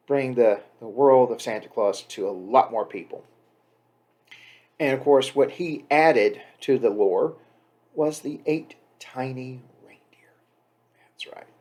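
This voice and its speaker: A man's voice: mid-range voice, darkish tone. A man giving a casual, off-the-cuff introduction as a host, chatty and emphatic with wry asides. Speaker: host introducing a poem reading.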